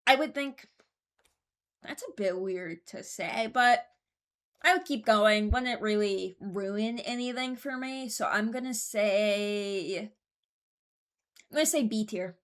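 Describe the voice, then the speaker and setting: A woman's voice: medium pitch, slow, long pauses. A woman giving casual, rambling commentary as a YouTuber, frank and mock-serious, often hesitating as she goes. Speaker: YouTuber ranking game voice lines.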